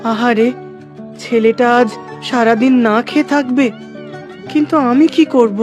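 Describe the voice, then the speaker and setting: A woman's voice: fairly high pitch, unhurried, darkish tone, faint music behind, natural voice. A woman voicing a meek, kind-hearted poor young schoolboy in a cartoon, humble and apologetic. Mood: pitying. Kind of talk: cartoon acting.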